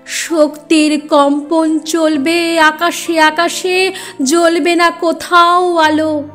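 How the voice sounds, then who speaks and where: Very slow, high-voiced woman reciting poetry. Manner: deliberate, expressive delivery with measured pauses. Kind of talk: dramatic Bengali poetry recitation. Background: music.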